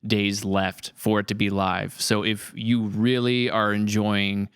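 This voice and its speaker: A man's voice: lowish voice, little pitch movement, slow, a bit bright, smooth, no echo, clean studio sound. A man hosting a casual podcast conversation, jokey and appreciative in manner. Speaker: podcast host.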